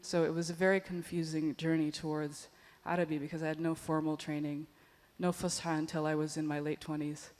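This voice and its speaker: A woman panelist giving a thoughtful, measured answer at a museum panel discussion. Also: low-pitched, flat delivery, darkish tone. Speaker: panelist artist.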